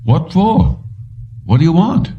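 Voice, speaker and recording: Man reading strained older company boss, pitched up, muffled. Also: wildly swooping pitch, slow, full character voice. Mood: wary questioning. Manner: tense, pressed delivery. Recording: muffled, distant-sounding dull recording.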